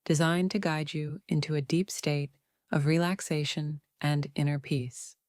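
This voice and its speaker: A woman narrating a guided meditation in a soothing, unhurried way, leaving long pauses between phrases. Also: low-pitched, fairly even intonation, a little mellow, no echo, studio quality.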